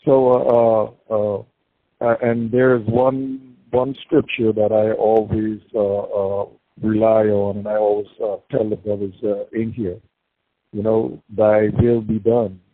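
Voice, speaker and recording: Older man, halting, on a tinny phone line. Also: on the low side, little pitch movement, dry recording. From Bahamas. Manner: halting, many fillers and repeats, devout. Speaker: interview guest, prisoner. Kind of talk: casual interview talk. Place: prison. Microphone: prison phone call.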